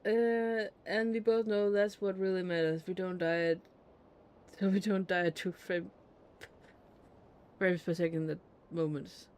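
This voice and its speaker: A man's voice: high-pitched, fairly even intonation, unhurried, clean tone. A man streaming a game with casual, teasing, self-deprecating commentary and some swearing. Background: game music.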